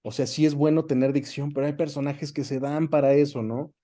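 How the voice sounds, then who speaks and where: A man's voice: fairly high pitch, steady tone, a little fast, dark tone. A man with a Mexican accent in a conversational interview as the guest, explaining things colloquially with examples and frequent tag questions. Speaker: interview guest, dubbing director.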